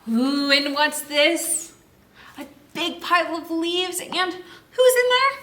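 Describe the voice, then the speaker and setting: A woman's voice: very high voice, expressive, takes it slowly, a bit bright, roomy sound, a little hiss or hum. A woman hosting a children's story time, reading aloud and explaining in a sing-song, exclaiming way with questions she answers herself.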